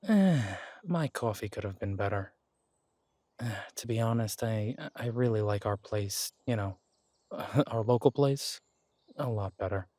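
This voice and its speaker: A man voicing a protective male werewolf detective in an audio drama, speaking in a gentle, reassuring, unhurried way. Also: fairly low pitch, expressive, dry recording, clean studio sound. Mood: warm, chatty. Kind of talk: romantic audio drama roleplay.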